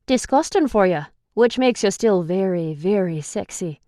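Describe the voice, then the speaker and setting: A gruff, sarcastic young man who guards a captive and has no patience for her, as a woman narrator puts him on. His lines come out raised, pushed and scolding, higher than her own reading voice, recorded close and dry. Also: lively intonation, a little slow, mellow, no echo, close to an everyday voice. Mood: teasing. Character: irritable sarcastic young male captor.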